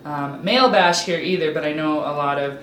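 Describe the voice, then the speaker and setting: A woman's voice: deep voice, brisk, a bit bright, slight background noise. Chatty woman in an echoey room. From Alaska. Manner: chatty and candid, with frequent ums and restarts. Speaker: interview guest. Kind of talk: casual conversation. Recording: roomy echo, faint background ambience.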